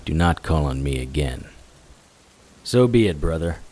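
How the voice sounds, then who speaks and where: An actor playing a hard-boiled investigator, narrating a noir audio drama in a dry, wry, tough and vengeful way. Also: male voice, a bass voice, expressive, unhurried, a little mellow, full character voice. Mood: terse, weary. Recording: hiss with rain sounds behind. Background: rain.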